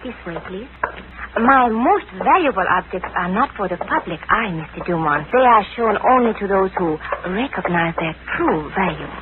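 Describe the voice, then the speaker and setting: A woman's voice: mid-range voice, expressive, moderate pace, close to an everyday voice. A woman playing a dramatic female character in an old-time radio serial. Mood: composed. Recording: tinny, noisy vintage radio recording.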